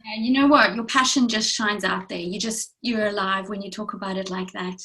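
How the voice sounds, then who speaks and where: A woman's voice: medium pitch, fairly even intonation, brisk, sharp tone, low-quality recording. A woman from Africa, hosting an interview and often saying "you know". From South Africa. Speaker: interview host.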